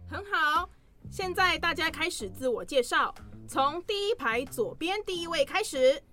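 A woman playing a brisk, encouraging college teacher addressing her class in a radio drama. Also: high-pitched, lively intonation, bright, forceful tone, faint music behind, full character voice. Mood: firm.

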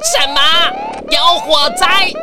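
A woman's voice: very high-pitched, wildly swooping pitch, slow pace, over a noisy crowd, very noisy, heavily acted. A woman playing a cowardly, whiny, easily panicked rich car owner in a children's audio drama.

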